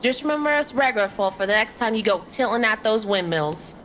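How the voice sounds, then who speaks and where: Feisty female mechanic, acted, high and punchy, folksy, plain-spoken scold, audio drama. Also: animated, a little fast, a bit bright, slight background noise. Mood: teasing, frank. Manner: folksy, exasperated scolding and teasing. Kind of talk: audio drama character acting.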